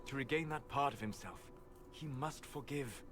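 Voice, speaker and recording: A man's voice: mid-range voice, moderate pace, noisy background, close to an everyday voice. A man playing a stern, scholarly mage in a video game, speaking in a formal, precise, lecturing way. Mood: grave, insistent.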